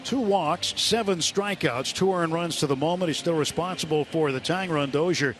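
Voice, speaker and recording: A male American baseball announcer with a slightly high voice calls the game live on radio. He takes his time between phrases, with faint ballpark noise behind him. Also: moderate pace, a bit bright. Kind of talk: live sports commentary. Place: ballpark broadcast booth. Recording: live stadium broadcast, ambient noise.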